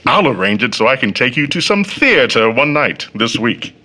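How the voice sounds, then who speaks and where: An actor playing a confident, domineering older suitor in a scripted audio drama. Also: male voice, medium pitch, sing-song, moderate pace, bright, forceful tone. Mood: patronizing, persuasive.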